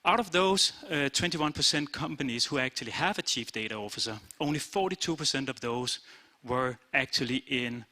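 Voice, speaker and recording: Danish-accented male CEO, middle-aged, crisp bright voice, plain conference delivery. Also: mid-range voice, moderate pace. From Denmark. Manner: plain-spoken, steady, with frequent "uh" and "basically". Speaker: company CEO giving an awards talk. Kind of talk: short conference speech. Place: event room.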